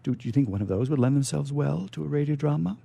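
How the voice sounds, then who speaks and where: A man hosting a live radio interview, chatting in a halting way and often repeating words as he goes. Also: fairly high pitch, expressive, vocal fry, mellow. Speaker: radio show host.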